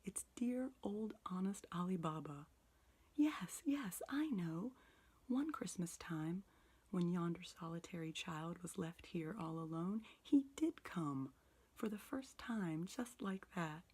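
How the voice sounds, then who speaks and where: A woman whispering the lines of an old miserly man overcome with childlike excitement. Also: lowish voice, extremely slow, hardly any pauses, slightly rough, exaggerated voice. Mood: wistful.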